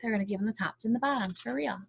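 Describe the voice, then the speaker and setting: A woman reading a picture book aloud in an expressive, chatty way, with casual explanatory commentary. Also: a little high, very fast, creaky, slight background noise. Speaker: storybook reader.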